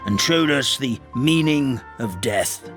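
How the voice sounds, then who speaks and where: A man voicing an elderly, forgetful paper squirrel in a video game, a kindly, excitable storyteller who rambles theatrically in old-fashioned phrases. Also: mid-range voice, slow pace, over music. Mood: reverent storytelling. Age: older. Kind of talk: animated video game character acting.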